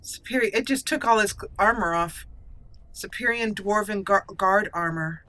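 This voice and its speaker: A woman's voice: medium pitch, moderate pace, pushed and bright, no echo. A woman giving casual roleplay commentary as she plays a game, talking to her character and musing in role. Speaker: gaming channel host. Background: video game sounds.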